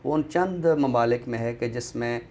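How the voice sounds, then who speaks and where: A Pakistani man talks as a guest commentator in a voice of middling pitch. His delivery is formal and deliberate, broken by frequent pauses. Faint noise sits in the background of the recording. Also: a little mellow. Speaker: guest commentator on a literary show. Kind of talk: thoughtful spoken discussion.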